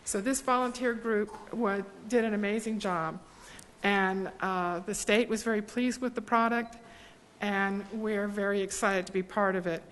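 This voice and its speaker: A woman giving formal thanks at a public meeting, speaking warmly with frequent ums and small restarts. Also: medium pitch, fairly even intonation, measured pace, slight background noise. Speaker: presenter thanking volunteers. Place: large meeting hall. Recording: long hall reverb.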